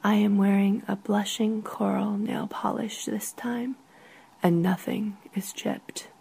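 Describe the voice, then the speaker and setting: Female author-narrator for literary fiction, breathy near-whisper with vocal fry, unhurried delivery. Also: medium pitch, flat delivery, mellow. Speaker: author reading own short story. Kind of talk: literary first-person story narration.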